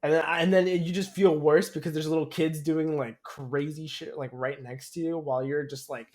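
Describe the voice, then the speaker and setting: A man's voice: fairly high pitch, moderate pace. A man co-hosting a podcast, telling stories in casual slang and acting out what other people said. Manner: animated slangy retelling, quoting others in dialogue. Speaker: podcast co-host. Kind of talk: casual chat, storytelling.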